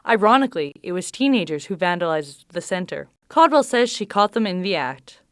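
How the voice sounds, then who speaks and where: A woman reading a scripted news report as a student reporter, in a measured reporting cadence. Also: fairly low pitch, big pitch swings, dry recording, clean studio sound.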